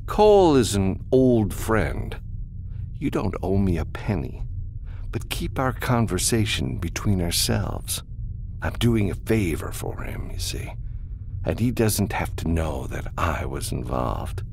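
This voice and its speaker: A man's voice: deep voice, big pitch swings, takes it slowly, vocal fry, darkish tone, slight background noise, voice pushed up, exaggerated voice. A man voicing a courteous, calculating businessman who feigns friendly helpfulness in an audiobook. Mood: confiding.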